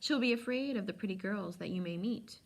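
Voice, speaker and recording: A woman's voice: mid-range voice, fast, gravelly, voice pushed up. A woman voicing a polite, sly, coolly indifferent old man selling potions in a storybook reading, with precise, insinuating salesman's patter. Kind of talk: storybook read-aloud.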